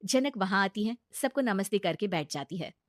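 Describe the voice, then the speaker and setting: A woman's voice: mid-range voice, expressive, moderate pace, no echo, clean studio sound. A woman narrating a scripted family story as its storyteller.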